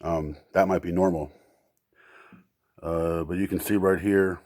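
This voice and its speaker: A man giving a casual, unscripted equipment demonstration as a seller, speaking in a halting way as he thinks aloud. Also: deep voice, steady tone, a little mellow, no echo, studio quality.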